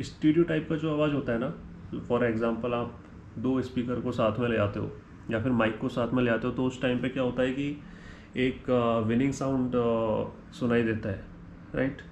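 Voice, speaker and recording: A man's voice: medium pitch, a little mellow. Indian man reviewing gear, echoey room. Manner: switches between Hindi and English mid-sentence; ends points with a checking "right?". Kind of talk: unscripted product comparison review, explanatory. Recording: echoey room with faint background noise.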